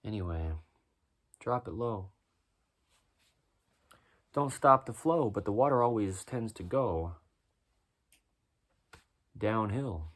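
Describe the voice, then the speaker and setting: A man with a deep voice doing a rhyming spoken-word freestyle, musing and preaching as he goes. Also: expressive, takes it slowly, pauses often, fairly bright tone. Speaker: freestyle musician.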